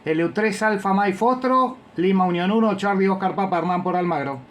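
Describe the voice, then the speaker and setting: A man's voice: sits high, a little fast, slight background noise. Argentine man on ham radio. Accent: Argentine Spanish. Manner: relaxed, informal, trails off and restarts while fiddling with gear. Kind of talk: ham radio contact, casual technical chat.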